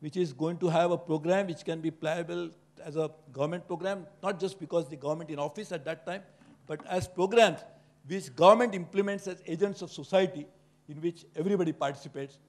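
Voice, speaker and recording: Middle-aged man, slightly high voice, formal book-launch speech on handheld mic. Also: steady tone, a little fast. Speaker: panel speaker. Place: conference hall with an audience. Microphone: handheld microphone.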